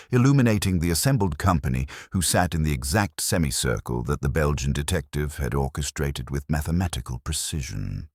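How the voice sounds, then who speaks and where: Very deep male mystery narrator. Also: darkish tone, dry recording, clean studio sound. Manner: literary, solemn, theatrical. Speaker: audiobook narrator. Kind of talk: scripted dramatic mystery narration.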